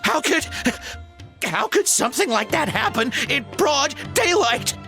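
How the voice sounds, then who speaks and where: A terrified townswoman speaks slowly in a high, shrill, forceful voice. She is panicked, in video game dialogue. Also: big pitch swings, soft background music, exaggerated voice. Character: terrified townswoman who saw a ghost.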